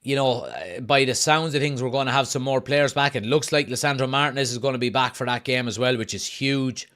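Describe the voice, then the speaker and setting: A man's voice: mid-range voice, a little fast. Irish man hosting a football watch-along. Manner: reads viewers' chat messages aloud and answers them; excited play-by-play bursts during match action. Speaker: host of a fan watch-along stream. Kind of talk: casual live-stream chat and match commentary.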